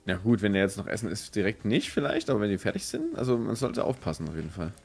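A man's voice: lowish voice, sing-song, quick pace, gravelly, darkish tone, slightly rough. A man with a German accent bantering jokingly and casually as co-host of a gaming video. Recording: game music behind the voice. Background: video game music.

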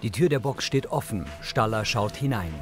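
Guy doing scripted audio description for a tv show, clear music behind him. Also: lowish voice, lively intonation, moderate pace.

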